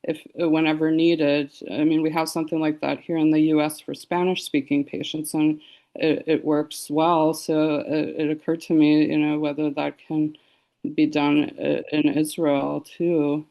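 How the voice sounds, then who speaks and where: Gravelly, flat-voiced woman on a video call. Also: low-pitched, measured pace. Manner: hesitant, many restarts and fillers. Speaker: physician asking a question. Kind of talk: webinar question from the audience.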